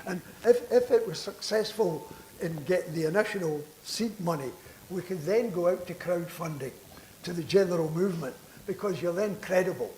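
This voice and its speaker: A man's voice: high voice, moderate pace, a little mellow. An older man who is a veteran politician, talking earnestly on a conference panel and restarting words as he presses his point. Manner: earnest, persuasive, repeats words when stressing a point. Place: conference hall. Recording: echoey hall with background noise.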